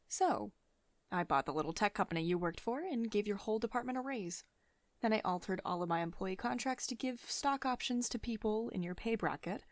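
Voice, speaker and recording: A woman's voice: medium pitch, no room sound, lightly acted. A woman playing a commanding, protective tech CEO who is secretly devoted and tender, in an intimate ASMR roleplay monologue.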